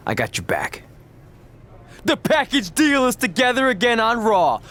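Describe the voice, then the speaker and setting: A man's voice: very high-pitched, wildly swooping pitch, measured pace, a bit bright, heavily acted. An actor playing a self-assured, goofy young male wrestler in a game cutscene, playful and earnest. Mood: hopeful. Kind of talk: video game cutscene acting.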